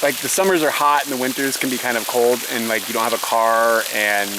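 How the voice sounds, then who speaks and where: A man's voice: mid-range voice, fairly even intonation, brisk, background noise. A young man chatting casually to his viewers and answering their questions, a storyteller who fills his talk with "like" and laughs often. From United States. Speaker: vlogger, elementary school teacher.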